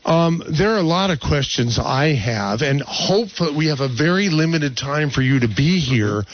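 Male local radio host, bit high voice, hesitant, muffled lo-fi sound. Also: animated, moderate pace, no echo. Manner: hesitant, many fillers. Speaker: radio talk show host. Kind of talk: radio interview.

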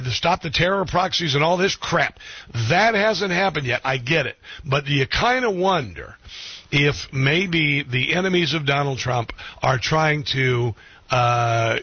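A man hosting a radio talk show, speaking haltingly with many ums and restarts. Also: a little high, breathy edge, dry recording, muffled recording. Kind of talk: radio interview.

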